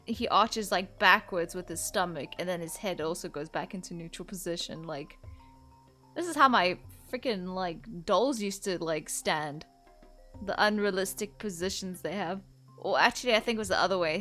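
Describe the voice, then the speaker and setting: A young woman records casual gaming commentary in a mid-pitched voice. She rambles and thinks out loud in an informal way, with faint game music underneath. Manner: rambling, informal, musing aloud. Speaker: gaming video creator. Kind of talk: casual let's-play commentary.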